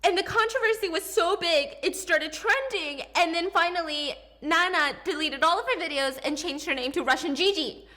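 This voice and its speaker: Woman, high voice, scripted video narration, brisk and upbeat. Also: bright, forceful tone. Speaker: narrator of a played commentary video.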